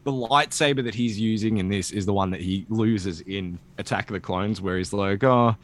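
A man with a low voice hosts a casual podcast chat. He rambles along in an animated, joking manner and swears freely. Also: moderate pace. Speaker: podcast host. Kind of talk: casual chat about comics.

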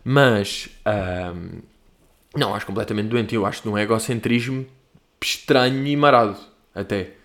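A man's voice: fairly low pitch, moderate pace, a bit bright. A young man from Portugal with a European Portuguese accent, rambling and self-mocking in slangy casual chat as a comedian host answering questions. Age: young adult. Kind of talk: casual monologue.

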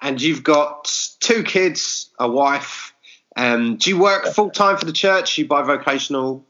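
A man hosting a podcast, asking questions of a church leader in a relaxed, easygoing way. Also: a little high, unhurried, lo-fi sound, slight background noise. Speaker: podcast host. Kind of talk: podcast interview.

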